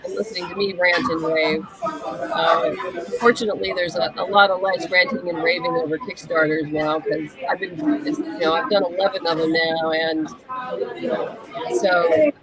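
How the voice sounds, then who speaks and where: A woman's voice: fairly low pitch, rough recording. Casual female interview guest amid crowd chatter. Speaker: interview guest, comic writer.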